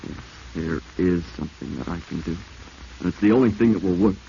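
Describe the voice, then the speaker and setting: Deep, dark-voiced scheming man. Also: a little fast, vocal fry. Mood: cold, commanding. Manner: clipped, commanding, scheming. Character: desperate, domineering corrupt lawman plotting murder. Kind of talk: 1940s radio drama acting. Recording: muffled hissy vintage radio recording.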